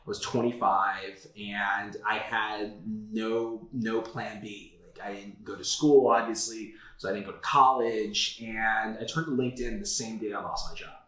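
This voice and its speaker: Low-voiced man telling his story. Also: fairly even intonation, measured pace, hardly any pauses, pushed and bright. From South Florida. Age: 30s. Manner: candid, storytelling, frequent "like" and "uh". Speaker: guest. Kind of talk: casual interview. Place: office. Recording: echoey room.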